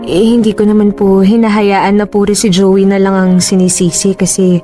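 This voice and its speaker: A woman's voice: medium pitch, little pitch movement, normal speed, warm tone, soft background music, close to an everyday voice. Polite young Filipino woman played by an actress. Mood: earnest, defensive. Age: young adult. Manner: polite, earnest. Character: respectful young pregnant daughter defending herself. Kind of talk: Filipino radio drama acting.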